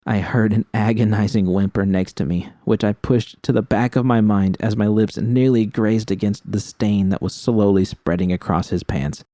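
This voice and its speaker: Low mellow guy reading a dramatic first person story, really dry sound. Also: fairly even intonation, normal speed, hardly any pauses, dry recording. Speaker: audiobook narrator of fan fiction.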